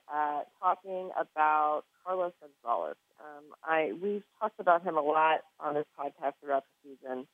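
A woman's voice: low voice, dry recording. A woman with an American accent hosting a conversational sports interview for a podcast. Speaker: podcast host, sports reporter. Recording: narrow, muffled line.

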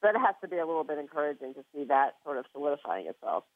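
A woman's voice: low-pitched, big pitch swings, very fast, dry recording. American woman hosting over a narrow line. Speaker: podcast host, sports reporter. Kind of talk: conversational sports interview.

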